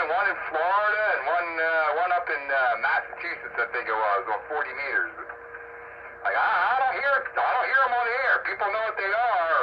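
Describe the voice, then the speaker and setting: A man chatting casually over amateur radio in a rambling, folksy way, repeating himself as he goes. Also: a little high. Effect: AM two-way radio transmission. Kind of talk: casual on-air radio chat. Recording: muffled noisy shortwave radio signal. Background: clear radio static and hiss.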